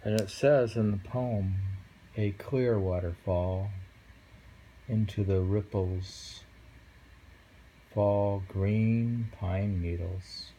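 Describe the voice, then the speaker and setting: A man reading haiku aloud casually, musing and halting, murmuring to himself between poems. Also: on the low side, fairly even intonation, dark tone, slight background noise, rough recording. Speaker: reader of a haiku book. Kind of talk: casual poetry read-aloud with musing commentary.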